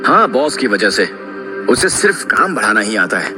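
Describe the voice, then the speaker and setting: A man playing a patient father who narrates a children's moral story in a measured, instructive way. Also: fairly low pitch, big pitch swings, big-room sound, over music. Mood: correcting.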